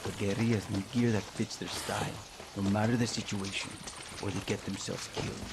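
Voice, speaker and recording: An actor playing a blunt, profane veteran guerrilla fighter coaching a recruit. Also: male voice, fairly low pitch, flat delivery, brisk, close to an everyday voice. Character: gruff veteran male rebel guerrilla. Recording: game audio with loud ambient noise.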